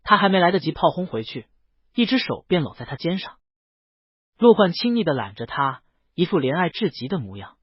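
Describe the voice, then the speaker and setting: Lively higher-pitched man narrating a story. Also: fairly quick, breathy edge, dry recording, muffled recording. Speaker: audiobook narrator. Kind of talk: dramatic scripted story narration.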